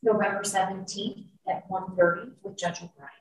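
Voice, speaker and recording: A woman's voice: mid-range voice, fairly even intonation, moderate pace. An American woman speaking as a prosecutor, making brief formal statements in court. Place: courtroom, far from the mic. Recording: echoey distant courtroom microphone, faint noise.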